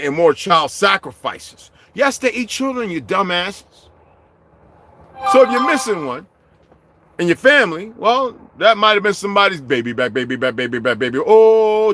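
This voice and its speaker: A middle-aged man delivering a heated, profane vlog rant, swinging from low muttering to shouting. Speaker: vlogger. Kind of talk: profane ranting monologue.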